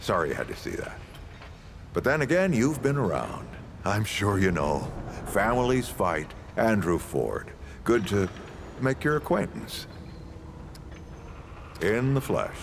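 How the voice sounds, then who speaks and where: An actor playing an old, folksy, world-weary leader and storyteller in a video game, with a gravelly voice and unhurried delivery. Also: male voice, lowish voice, very animated, background noise. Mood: wry, welcoming. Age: older. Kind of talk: video game character dialogue.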